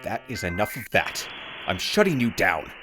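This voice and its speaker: A man's voice: lowish voice, sing-song, normal speed, over music. A man playing a pompous, bossy, self-proclaimed emperor hosting a scripted post-apocalyptic radio comedy with grandiose, exasperated commands. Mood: commanding, irritated. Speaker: radio host.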